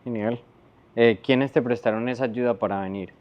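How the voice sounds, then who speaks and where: A man's voice: mid-range voice, steady tone, normal speed, highs cut off. A man hosting a podcast, asking questions in a casual, chatty interview style.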